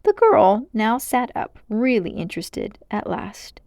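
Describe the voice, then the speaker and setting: A woman reading a children's story aloud as narrator, in an expressive storytelling style. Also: mid-range voice, unhurried, creaky, dry recording, studio quality.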